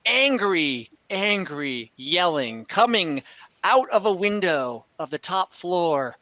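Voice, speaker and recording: A man's voice: sits high, sing-song, slow pace, pushed and bright, dry recording, muffled recording. A man narrating an improvised tabletop game casually as game master, thinking aloud and correcting himself, on a close mic over an online call.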